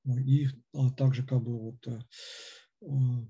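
A man lecturing off the cuff, stopping and restarting at every turn with a string of fillers. His voice is very dark and husky, and he speaks in a flat, level tone. The recording sounds dull and lo-fi. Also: mid-range voice. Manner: halting, with constant hesitations and fillers. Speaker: lecturer on philosophy. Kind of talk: unscripted lecture.

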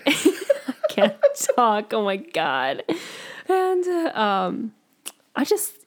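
A young woman co-hosting a podcast, telling a candid story in a giggly, animated way. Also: on the high side, extremely slow. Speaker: podcast co-host. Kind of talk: casual podcast chat.

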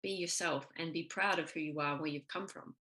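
A woman's voice: sits low, brisk. A woman hosting a conversation, affirming and encouraging her guest as she talks. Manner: warm, affirming, laughs easily. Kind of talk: casual conversation.

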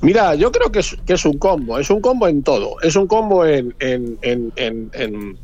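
A Castilian-accented man in his forties, a talkative hotel owner speaking in a fairly high voice. He stumbles and repeats words as he talks. The audio is dull and lo-fi, as broadcast on the radio. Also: big pitch swings, moderate pace, darkish tone. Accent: Castilian Spanish. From Madrid, Spain. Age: 40s. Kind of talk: casual radio interview.

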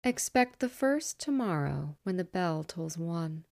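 A woman reading aloud, voicing a tormented male ghost in chains who speaks slowly and often sinks to a breathy whisper. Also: on the low side, lively intonation, a little mellow, no echo, clean studio sound. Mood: mournful. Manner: slow, dragging, breathy whispering delivery.

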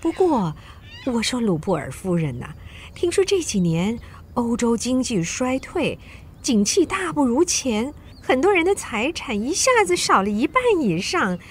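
An actress in a radio drama playing a boastful, teasing French society wife with coy, theatrical, drawn-out exclamations. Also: female voice, on the high side, sing-song, slight background noise. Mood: feigned concern.

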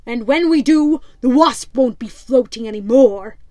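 A woman voicing a cruel, menacing male pirate captain who talks loud and threatening. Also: fairly high pitch, animated, measured pace, dry recording. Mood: rousing.

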